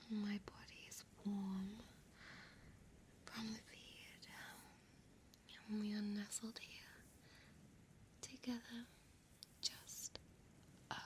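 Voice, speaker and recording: A woman playing a centuries-old, possessive female vampire in an intimate audio roleplay, speaking tenderly in a breathy, hushed whisper. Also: medium pitch, lightly acted. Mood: soothing, possessive.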